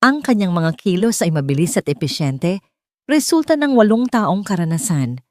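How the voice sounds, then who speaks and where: A woman narrating a fictional audio drama in an expressive, dramatic storytelling manner. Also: on the low side, moderate pace, dry recording, clean studio sound.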